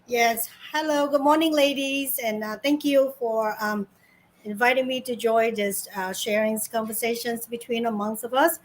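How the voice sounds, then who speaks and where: Woman, high pitch, panel guest, casual introduction, faint background noise. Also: steady tone, moderate pace, rough recording.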